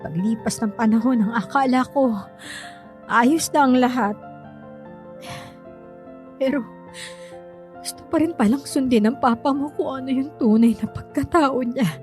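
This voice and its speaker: A gentle middle-aged mother in a radio drama, played by an actress, mellow and soft-spoken over background music. She pleads sorrowfully with her grown son, hesitant as if confessing. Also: on the high side, measured pace, pauses often, lightly acted.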